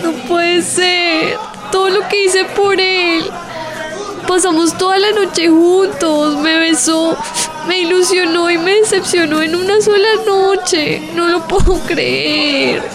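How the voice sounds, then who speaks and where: A woman's voice: very high-pitched, steady tone, slow, crowd noise. A woman playing a lovestruck, dramatic teenage schoolgirl in a radio drama, with a Colombian accent and an earnest, emotive delivery. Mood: tearful, heartbroken. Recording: music under the voice.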